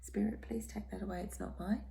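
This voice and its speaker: A hoarse, croaky woman giving a tarot reading in a soft, half-whispered, reassuring way. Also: mid-range voice, fairly even intonation, fairly quick, slight background noise. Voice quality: croaky, throat-clearing. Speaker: tarot reader. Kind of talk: casual tarot reading monologue.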